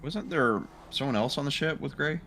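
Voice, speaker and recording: A man playing a tabletop roleplaying game, speaking casually in a tentative, halting way. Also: medium pitch, lively intonation, bright, forceful tone. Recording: clear background noise.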